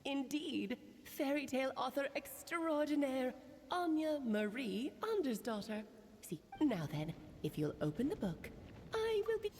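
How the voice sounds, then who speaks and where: A playful grown-up witch in a high, swooping voice. She is breezy and eccentric, telling things with theatrical flourish in anime game style. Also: big pitch swings, measured pace, a little mellow, a little hiss or hum, exaggerated voice. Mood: grand, theatrical. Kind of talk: anime video game voice acting.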